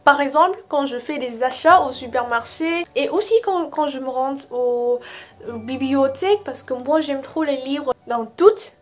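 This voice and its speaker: Slow, high-voiced young woman, Vietnamese accent. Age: young adult. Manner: slow, careful, with frequent hesitations. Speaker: vlogger. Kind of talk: casual talk to camera.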